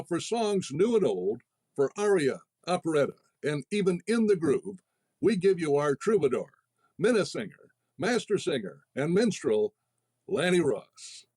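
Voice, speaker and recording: An actor playing a florid 1930s radio announcer declaiming a grand introduction. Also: male voice, on the high side, darkish tone, breathy edge, exaggerated voice.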